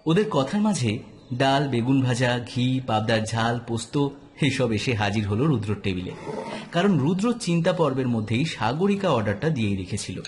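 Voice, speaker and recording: A man's voice: mid-range voice, very animated, normal speed, darkish tone, a little hiss or hum. A man narrating a scripted detective story as an audio drama narrator.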